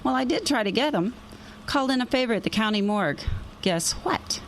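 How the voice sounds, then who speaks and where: A woman's voice: on the high side, lively intonation, brisk, slight background noise. Actress as a sly, nosy woman investigator. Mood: coaxing. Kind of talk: radio drama acting.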